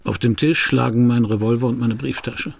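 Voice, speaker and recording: A man's voice: mid-range voice, steady tone, moderate pace, gravelly, breathy edge. An actor playing a wry, laconic private detective who narrates his case in a German radio crime drama. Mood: laconic, suspenseful.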